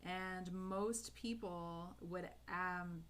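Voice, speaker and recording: A woman's voice: on the low side, fairly even intonation, very slow, fairly bright tone, a little hiss or hum. A woman with a Canadian English accent chatting casually with her live viewers while she letters, upbeat and full of fillers and restarts. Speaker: calligraphy livestreamer.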